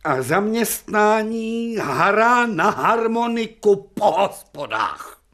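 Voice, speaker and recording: An actor playing an imperious, irritable professor in a radio drama, brusque and commanding. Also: male voice, big pitch swings, fairly bright tone. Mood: probing. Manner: brusque, commanding, swinging pitch widely.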